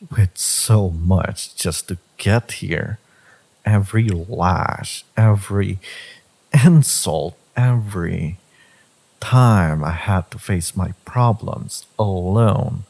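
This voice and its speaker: Man acting a husky, low-voiced brooding villain lover. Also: darkish tone, full character voice. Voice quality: husky, gravelly. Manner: slow, deliberate, intimate, intense. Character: brooding, devoted, possessive male villain lover. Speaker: audio roleplay voice actor. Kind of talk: dramatic romance audio roleplay monologue.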